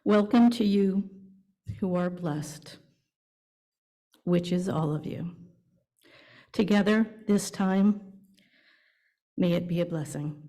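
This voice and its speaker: Slow, mellow-voiced woman leading worship. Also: fairly low pitch. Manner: measured, deliberate phrasing with pauses. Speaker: worship leader at a church service. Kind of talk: reading a welcome and announcements to a congregation. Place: church service, speaking to a congregation.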